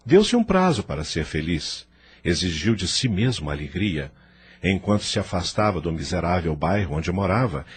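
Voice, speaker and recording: A man's voice: low voice, breathy edge. A man narrating an audio novel from a script in a solemn, theatrical, dramatic manner.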